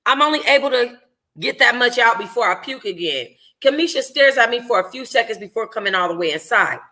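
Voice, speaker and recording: A woman reading a first-person novel aloud informally as a book club host, in an expressive, conversational, slangy way. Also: fairly high pitch, brisk.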